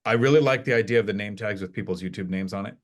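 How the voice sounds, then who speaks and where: A man's voice: fairly low pitch, racing. A retired man chatting casually as a podcast guest, rambling and restarting his sentences often. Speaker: podcast guest, retired real estate investor.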